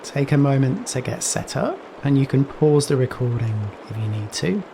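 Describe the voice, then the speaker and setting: A man leading a guided meditation in a gentle, soothing manner, leaving long pauses between phrases. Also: medium pitch, creaky. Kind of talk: guided Yoga Nidra meditation. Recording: steady rain noise behind voice. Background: rain sounds.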